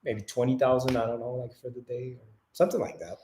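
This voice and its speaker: A man's voice: medium pitch, flat delivery, fast, warm tone, reverberant, a little hiss or hum. A young man from French-speaking Canada, whose first language is French, chatting casually with a French Canadian accent on a live travel hangout. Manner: chatty, lots of "like" and restarts. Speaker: travel vlogger, co-host.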